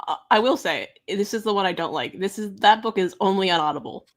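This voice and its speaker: A woman's voice: medium pitch, fast. A woman co-hosting a casual book discussion, speaking in an offhand, tentative way.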